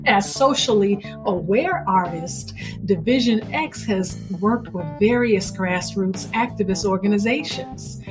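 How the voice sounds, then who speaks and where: A woman radio host reading a scripted introduction of a featured storyteller in a deliberate, emphatic way. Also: medium pitch, rough recording. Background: music, plucked guitar.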